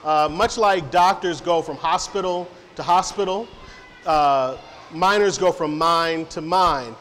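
A man's voice: a little high, unhurried, fairly bright tone. A middle-aged man answering audience questions in a science center hall, friendly and rambling with many fillers and restarts. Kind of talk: informal public Q&A talk. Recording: reverberant hall, faint background noise.

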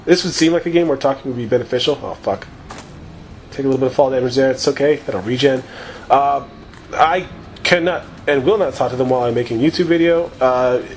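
A man chatting casually and with plenty of swearing as he plays, wandering from point to point. His voice is a touch hoarse from talking too long, sitting at an everyday middle pitch. A faint hiss runs under the home recording. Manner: loose, profane, rambling. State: slightly hoarse. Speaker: gaming YouTuber. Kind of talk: casual gameplay commentary.

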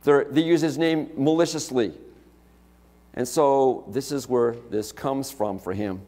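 A man's voice: medium pitch, unhurried, warm tone. Middle-aged male preacher in a reverberant church. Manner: conversational preaching with frequent "okay?" and "right?" asides. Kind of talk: sermon. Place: church sanctuary, large indoor hall. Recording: long reverb of a church hall.

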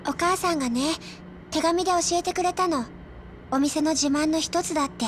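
A woman voicing an earnest, polite little girl, lonely but determined, in a Japanese video game drama, with a soft childlike manner. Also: high-pitched, normal speed, slight background noise, lightly acted.

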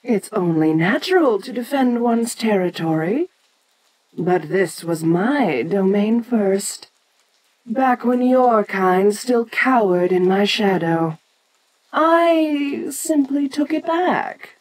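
A woman's voice: mid-range voice, lively intonation, slow, darkish tone, poor audio. A woman playing an ancient, domineering giant kaiju queen in an audio roleplay, speaking with imperious condescension. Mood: proud. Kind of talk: scripted audio roleplay addressed to the listener.

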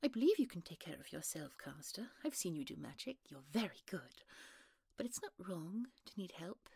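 A woman's voice: fairly low pitch, big pitch swings, normal speed, creaky, warm tone, breathy edge, dry recording, clean studio sound, full character voice. A woman playing a sweet, genteel young lady in a fantasy audio roleplay, polite, bubbly and easily flustered. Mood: genteel, affectionate.

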